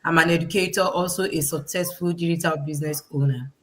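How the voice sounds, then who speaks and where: A woman's voice: deep voice, steady tone, quick pace, fairly bright tone, slight background noise. A woman presenting on a livestream, greeting viewers and introducing herself.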